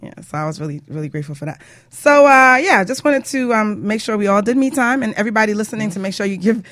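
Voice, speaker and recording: A woman's voice: medium pitch, lively intonation, fast talker, a bit bright, no echo. Chatty woman radio host, dry close sound. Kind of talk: casual radio show sign-off chat.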